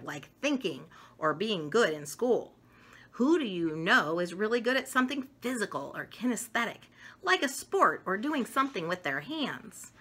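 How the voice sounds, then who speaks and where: A middle-aged woman reading an educational children's book aloud with deliberate, emphatic phrasing for young listeners. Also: on the high side, a bit bright. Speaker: storyteller reading picture books. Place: home dining room, indoors.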